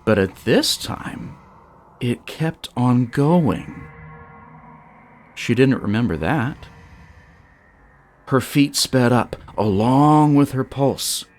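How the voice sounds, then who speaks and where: Male story narrator: unhurried, theatrical delivery with dramatic pauses and lively melodic swings. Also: medium pitch, faint music behind. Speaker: storyteller and show creator.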